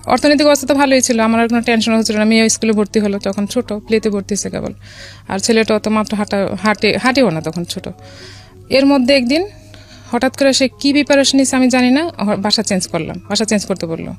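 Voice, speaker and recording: A woman's voice: a little high, quick pace. A middle-aged woman with a Bangladeshi accent tells a personal story in a radio interview, conversationally, with some English words mixed in. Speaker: guest telling her life story. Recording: music behind the voice. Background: background music.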